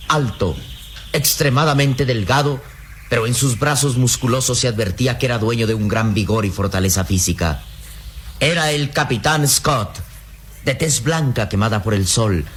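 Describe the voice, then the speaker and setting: A man's voice: mid-range voice, slight background noise. A man narrating a radio adventure serial in a solemn, declamatory, suspenseful style. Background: faint music.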